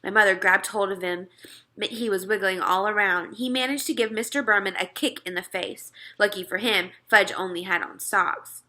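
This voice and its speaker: A woman narrating a children's story as an expressive read-aloud. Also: mid-range voice, normal speed.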